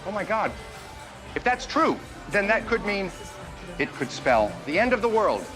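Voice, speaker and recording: An excitable male ghost hunter played by a man in a motion comic, earnest and wordy. The voice is high and swings dramatically, with music playing clearly underneath. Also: unhurried. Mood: dread. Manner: dramatic, formal wordy phrasing. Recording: loud music behind.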